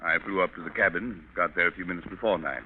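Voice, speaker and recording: A man acts a measured, formal physician in 1940s radio drama. His voice is very low and composed. The recording is narrow with faint noise. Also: close to an everyday voice. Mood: composed, explanatory. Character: composed male doctor giving testimony.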